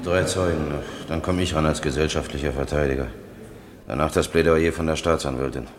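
An actor playing a blunt, impatient defender in a German radio crime drama, with a gravelly voice. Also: male voice, rumbling low, fairly even intonation, fairly quick. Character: blunt, impatient older-style defender, exasperated with his workmates.

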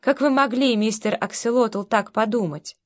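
A woman's voice: fairly high pitch, normal speed, lightly acted. A woman voicing a shy, polite young British man who speaks hesitantly and deferentially. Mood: earnest.

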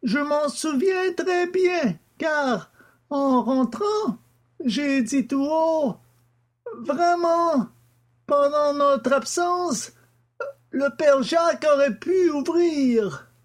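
A man's voice: very high-pitched, animated, drawn-out words, voice pushed up, heavily acted. A man voicing an elderly scientist father, an earnest, formal witness under questioning in an audiobook drama. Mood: earnest, plaintive. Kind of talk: audiobook character acting.

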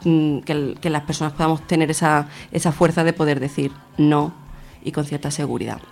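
A young woman with a gravelly, creaky voice gives interview answers. Her pitch moves very little, and she builds her thoughts hesitantly as she goes. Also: steady tone, fairly quick, darkish tone. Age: young adult. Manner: hesitant phrasing, searching for words. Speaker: interview guest, feminist activist.

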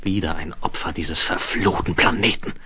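An actor playing a grave, brooding doctor in a sci-fi radio play, sober and matter-of-fact. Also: male voice, sits low, normal speed, close to an everyday voice. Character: male space-clinic doctor, grave, brooding, businesslike. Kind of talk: 1970s German sci-fi radio play acting. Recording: faintly hissy 1970s vinyl recording.

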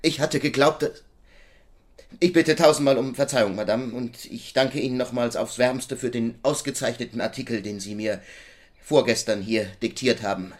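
A male actor plays a young man of ambition in a radio play. He is a journalist with elaborate, flattering courtesy and a touch of awkwardness. His voice sits at a middle pitch. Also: normal speed, close to an everyday voice. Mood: flustered, apologetic. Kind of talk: German radio play acting.

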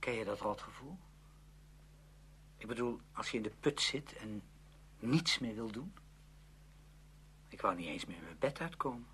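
A confiding, moody schoolboy in a radio play. His voice is bright and edged, with very animated intonation. The recording carries a light hum. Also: lowish voice, unhurried, pauses often. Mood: gloomy. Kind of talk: Dutch radio play acting. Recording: hum and faint noise, radio drama recording.

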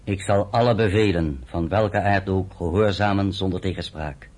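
An actor playing a stern underground field commander in a radio drama, speaking in a solemn, measured, declamatory way. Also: male voice, low-pitched, darkish tone.

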